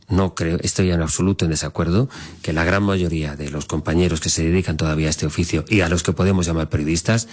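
A middle-aged man whose native language is Catalan, hosting a conversational podcast in an earnest, measured, searching way. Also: deep voice. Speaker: podcast host and radio journalist.

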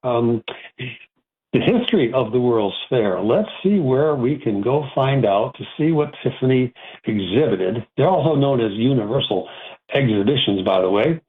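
A man's voice: mid-range voice, animated, moderate pace, breathy edge, narrow, tinny audio. A man from Rochester, New York, hosting an antiques radio show in a chatty, rambling way, often correcting himself mid-sentence. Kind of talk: casual call-in radio talk.

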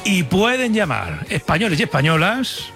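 Animated Spanish male radio host over music. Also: high voice, moderate pace. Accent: Spanish from Spain. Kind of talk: casual banter, hosting a radio talk show. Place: radio studio. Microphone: studio microphone.

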